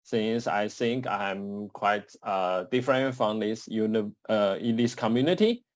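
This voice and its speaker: Halting man from Taiwan presenting slides. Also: lowish voice, no room sound. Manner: halting, many uh fillers and restarts. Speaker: conference presenter. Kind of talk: conference talk over slides. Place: home office or desk, indoors. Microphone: webcam on a video call.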